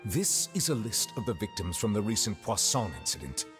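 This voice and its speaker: An actor playing a stern, formal chief judge in a video game, speaking in a solemn, measured way. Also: male voice, lowish voice, very animated, music in the background. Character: grave, stern, formal male chief judge pressing a god.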